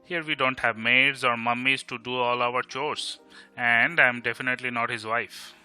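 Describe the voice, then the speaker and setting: A man's voice: medium pitch, fairly even intonation, moderate pace, bright, forceful tone. A man acting a grumbling, teasing young man in an amateur audio drama, with an Indian Bengali accent and a sarcastic, exasperated manner. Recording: music behind the voice.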